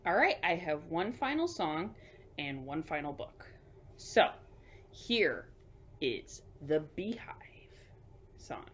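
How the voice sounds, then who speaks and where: A woman hosting a children's storytime, reading picture books aloud with emphatic delivery and hushed asides. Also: fairly low pitch, big pitch swings, slow, pauses often, slight background noise. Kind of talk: picture book read-aloud for young children.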